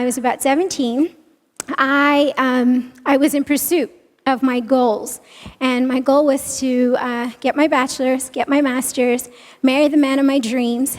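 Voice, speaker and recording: A young woman from India, with a small soft voice, giving a halting, emotional church testimony in a large auditorium. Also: high voice. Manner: halting, emotional, many restarts. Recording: long reverb of a large hall.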